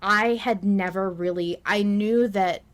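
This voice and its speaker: Female podcast co-host, mid-pitched, informal and opinionated, in relaxed discussion. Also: unhurried. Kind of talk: casual podcast discussion of a fiction show.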